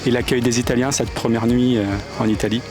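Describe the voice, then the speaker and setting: A man's voice: mid-range voice, level tone, quick pace, over music. Hesitant man reporting from a pilgrimage. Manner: hesitant, many fillers and restarts. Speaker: on-site reporter for a youth pilgrimage. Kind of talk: on-location vlog commentary. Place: outdoors in a town square.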